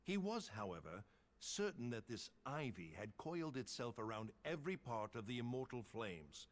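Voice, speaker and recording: A man voicing a solemn military captain who speaks deliberately and formally, as if confiding a secret. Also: medium pitch, very animated, pitched below the performer's own voice, close to an everyday voice. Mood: grave, confiding.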